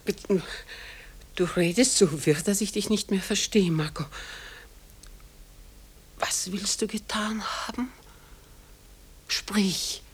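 Radio drama mother: older, dignified, anxious, slow and husky, pleading insistently. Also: mid-range voice. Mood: bewildered, pleading. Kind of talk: German radio drama acting.

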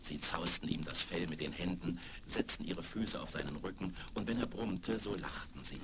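A man's voice: low voice, moderate pace. A man narrating a scripted fairy tale for children as storyteller, on a crackly 1970s vinyl record.

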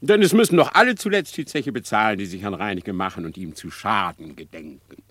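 An actor playing a sly, scheming male fox in a radio play, smooth and mocking with chuckles. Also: fairly low pitch, expressive, brisk, fairly bright tone, no room sound. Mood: gleeful. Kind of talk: classic radio play acting.

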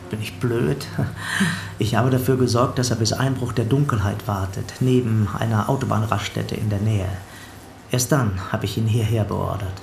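Casting: gravelly male parish helper, meticulous matter-of-fact witness in a radio play, roomy recording. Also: on the low side, steady tone, moderate pace, a little hiss or hum. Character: dutiful male parish helper recounting to an investigator. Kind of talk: German radio drama acting. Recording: roomy sound with faint background ambience.

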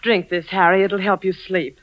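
An actress playing a frightened, evasive wife with a hesitant, pleading manner in a 1940s radio drama. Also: female voice, medium pitch, level tone, moderate pace, slight background noise, close to an everyday voice. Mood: soft, soothing. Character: frightened, evasive, secretive wife with a fragile sweetness. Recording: dull, muffled 1940s radio recording.

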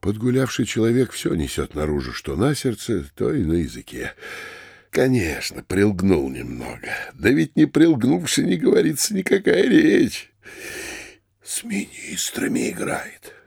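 An actor playing a blustering, frightened middle-aged provincial mayor in a classic comedy audio drama, grumbling and exclaiming theatrically. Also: male voice, very animated, measured pace, darkish tone, no echo, clean studio sound, heavily acted. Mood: worried musing. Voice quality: gravelly, husky bass.

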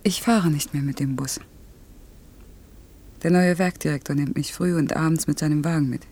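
An actress playing a proud woman in a radio drama, talking in a cool, brisk and faintly mocking way. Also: low-pitched, fairly even intonation, darkish tone. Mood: matter-of-fact. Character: proud woman coolly parting from a former lover. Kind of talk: naturalistic German radio drama acting.

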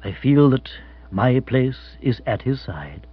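A man voicing a grave, formal valet who speaks in a measured, deferential way. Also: medium pitch, sing-song, breathy edge, no echo, dull audio, heavily acted. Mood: apologetic, grave.

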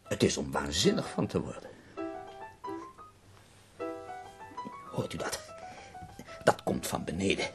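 An actor playing a dying, bedridden poet in a radio play, theatrical and wry, complaining with witty self-pity. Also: male voice, very deep voice, big pitch swings, moderate pace, vocal fry, over loud music, heavily acted. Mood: exasperated.

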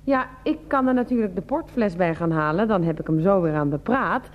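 A woman's voice: medium pitch, animated, brisk, muffled recording, natural voice. An actress playing a weary, sardonic middle-aged wife and mother in a radio drama, with a dry, matter-of-fact manner. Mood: wry, resigned.

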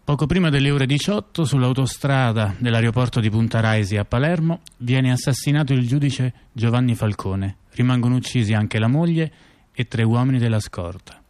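Man acting reflective intellectual, radio theatre, conversational storytelling, halting with repeats and restarts, medium pitch. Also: a little mellow, natural voice. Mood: matter-of-fact. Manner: halting, repeats words and restarts while recollecting. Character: reflective male intellectual recalling political events. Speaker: performer in a two-person radio theatre dialogue. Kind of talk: conversational theatrical monologue, recounting dated events and personal memories.

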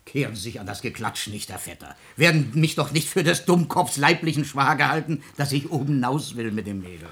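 Blustering older father, swinging wildly in pitch. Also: animated, a little fast, hardly any pauses, a bit bright. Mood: gruff, exasperated. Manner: blustering, earthy, exclamatory. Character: blunt, hot-tempered older musician father, grumbling and loving. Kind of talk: classic German stage drama radio acting.

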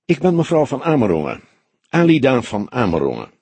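Audiobook role: composed, frank older lady played by a male reader, soft-spoken, thoughtful, animated pitch, close dry recording. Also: breathy edge, dry recording, heavily acted. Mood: composed, courteous. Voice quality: soft, friendly voice. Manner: measured, genteel, thoughtful. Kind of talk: audiobook character dialogue.

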